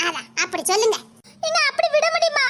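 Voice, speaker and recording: A woman's voice: very high-pitched, fairly quick, noisy background. Woman playing a wailing scheming village stepmother. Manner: loud wailing, pleading, crying melodrama. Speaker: skit actor. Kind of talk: melodramatic village comedy skit.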